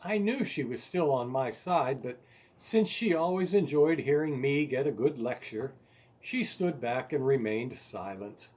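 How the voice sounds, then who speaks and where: A man reading his own story aloud as its author, in an expressive, deliberate storytelling manner with emphasized words. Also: medium pitch. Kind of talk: scripted story narration.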